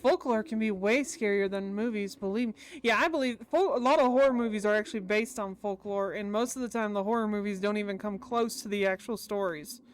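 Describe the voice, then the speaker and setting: A woman's voice: medium pitch, brisk, few pauses, slight background noise. A woman streamer reading visual novel dialogue aloud in an informal, chatty way with game music behind her. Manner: informal, breaks off to comment and talk with family.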